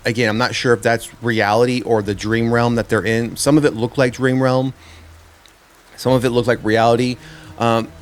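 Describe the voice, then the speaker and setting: A man giving a casual spoken review as a host, rambling and often repeating his words as he works out his thoughts. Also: medium pitch. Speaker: host of a horror trailer review show.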